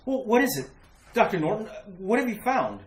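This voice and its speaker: A woman's voice: mid-range voice, very animated, brisk, natural voice. A woman playing an anxious, hesitant husband searching for his missing wife in a retro radio mystery. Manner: hesitant, stammering, urgent. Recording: roomy sound with faint noise.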